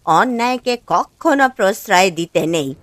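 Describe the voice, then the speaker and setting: A woman's voice: medium pitch, animated, normal speed, dry recording, lightly acted. A woman voicing a concerned, plain-spoken village wife, fussing and soothing, in a cartoon. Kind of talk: cartoon dialogue acting.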